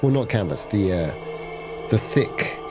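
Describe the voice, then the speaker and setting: Brit player, low-ish voice, drawn-out in-character musing. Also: male voice, very animated, over music. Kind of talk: in-character roleplay narration.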